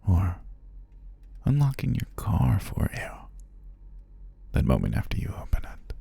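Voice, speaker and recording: A man playing a menacing, obsessive captor in an ASMR roleplay, savoring his phrases in a breathy, husky whisper with a gravelly murmur. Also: on the low side, wildly swooping pitch, dark tone, exaggerated voice. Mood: gloating, savoring. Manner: slow, savoring, drawn-out phrases with pauses. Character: menacing obsessive male captor, gloating and possessive. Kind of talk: ASMR roleplay, intimate scripted monologue.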